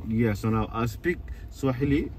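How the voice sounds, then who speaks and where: A man's voice: mid-range voice, expressive, moderate pace, far from the mic. A man from Kenya explaining things at length in a casual chat inside a car, with many fillers. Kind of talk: casual conversation. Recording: car noise behind.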